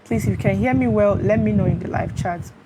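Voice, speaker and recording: A woman's voice: medium pitch, a little fast. A Nigerian woman hosting a live stream, chatting casually on a phone, greeting her viewers and checking they can hear her. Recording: steady fan noise behind.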